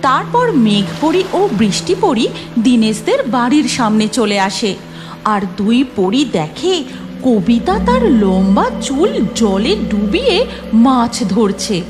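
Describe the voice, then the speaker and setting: A woman's voice: fairly high pitch, very animated, unhurried, over music. A woman narrating a scripted cartoon story.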